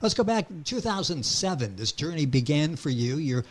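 A middle-aged man hosting a radio interview show, introducing his guest with an energetic, emphatic announcer delivery. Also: on the high side, moderate pace.

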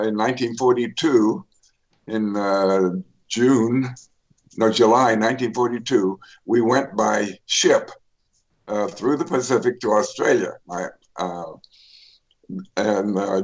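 An older man, an author, telling his life story in a radio interview, pausing often with fillers and restarts. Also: lowish voice, lo-fi sound. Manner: rambling, many fillers and restarts. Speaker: author guest.

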